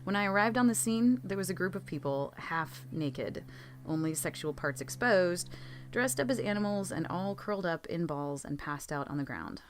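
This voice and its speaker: A woman's voice: lowish voice, animated, normal speed, slight background noise. A woman reading scripted true scary stories as a storytelling narrator.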